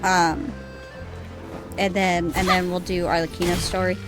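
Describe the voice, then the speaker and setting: A woman's voice: lowish voice, level tone, unhurried, music in the background. A young adult woman giving candid, sweary, casual commentary as she live streams a game.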